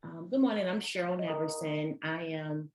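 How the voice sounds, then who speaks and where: Low-voiced woman hosting, full of ums. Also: moderate pace, echoey room, noisy background. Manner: hesitant, many "um" fillers. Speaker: subcommittee co-chair and moderator. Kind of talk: hosting a virtual learning session.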